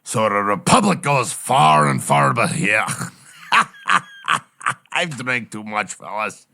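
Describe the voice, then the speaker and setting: Man doing a gravelly drunk rowdy dwarf. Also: lowish voice, big pitch swings, takes it slowly, full character voice. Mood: tipsy rambling. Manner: crude, rowdy, yelling. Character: rowdy drunk male dwarf, crude and loud.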